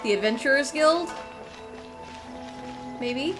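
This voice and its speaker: Woman game streamer, slightly high voice, chatty informal commentary, music underneath. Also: animated, slow, low-quality recording. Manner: chatty, thinking aloud. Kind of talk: casual playthrough commentary and reading of dialogue.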